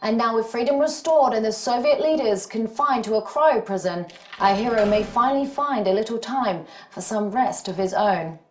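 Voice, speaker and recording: A woman acts as a polished news reporter in a video game. Her voice is on the high side, formal and scripted, with a clear echo around it. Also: moderate pace, close to an everyday voice. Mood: celebratory. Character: polished female news reporter praising a war hero. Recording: echoey sound.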